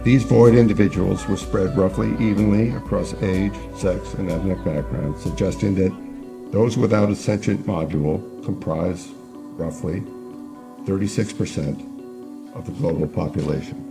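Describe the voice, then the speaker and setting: A man's voice: deep voice, normal speed, vocal fry, music in the background. A man acting as an earnest, stubborn, idealistic neuroscientist in an audio drama. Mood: measured, formal. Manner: earnest, insistent.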